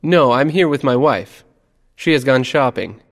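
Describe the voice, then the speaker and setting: Man reading dialogue, lively intonation. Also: mid-range voice, measured pace, big-room sound. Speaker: dialogue reader. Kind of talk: scripted textbook dialogue reading.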